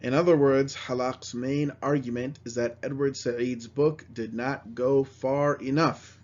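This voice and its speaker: A man's voice: mid-range voice, steady tone, measured pace, a little mellow. A man lecturing on history, reading passages aloud and then explaining them in plain words. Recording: slightly dull, lo-fi recording.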